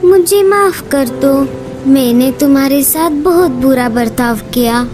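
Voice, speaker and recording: A woman's voice: high voice, a little slow, over music. A woman voicing a frightened bulbul bird in a cartoon, pleading and full of regret.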